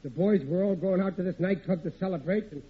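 A man's voice: sits high, quick pace, husky. An actor playing a sheepish, blustering, middle-aged American working-class husband and father in an old radio sitcom, with hesitant, ungrammatical speech. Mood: sheepish excuses. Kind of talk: 1950s radio sitcom acting. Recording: tinny old radio broadcast recording.

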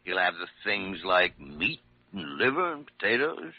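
An actor playing an older senior doctor in an old-time radio drama, crusty, explanatory and insistent. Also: male voice, deep voice, very animated, a little slow, no echo, full character voice. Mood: reassuring, explanatory. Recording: muffled, noisy vintage radio recording.

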